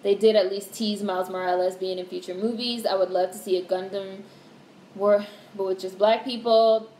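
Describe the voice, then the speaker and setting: A young woman in her twenties hosting a casual livestream, talking in a monotone way, candid and swearing casually as she reads out comments. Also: medium pitch, normal speed. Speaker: livestream host. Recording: roomy echo with faint background hiss.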